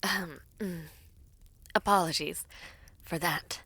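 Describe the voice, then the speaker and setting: Woman acting a composed, low-voiced young princess. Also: sharp tone, breathy edge, dry recording, heavily acted. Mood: formal, diplomatic. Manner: measured, formal, courtly. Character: composed, diplomatic young princess, earnest and loyal. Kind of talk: dramatic audio roleplay acting.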